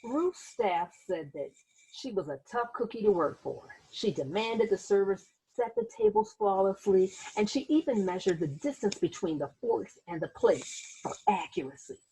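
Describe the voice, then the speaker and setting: A woman reading a children's picture book aloud as host, in an expressive storytelling manner with little asides to young listeners. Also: medium pitch, normal speed, vocal fry, breathy edge, poor audio.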